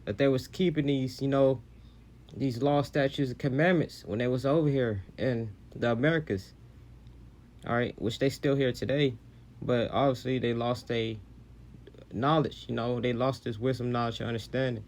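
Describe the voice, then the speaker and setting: A man preaching in a loose, conversational way between video clips. He circles back on his points and drops in scripture quotes, at an ordinary mid pitch. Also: fairly even intonation, normal speed, a little mellow. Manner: casual, repetitive, preachy with scripture quoting. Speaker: religious commentator. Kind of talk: sermon-like commentary on video clips.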